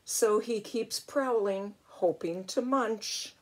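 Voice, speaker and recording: An older woman, a grandmother, reading a picture book aloud in an expressive way and chatting to a young child with little exclamations. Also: medium pitch, slow, slight background noise. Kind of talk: storybook read-aloud with chatty asides to a child.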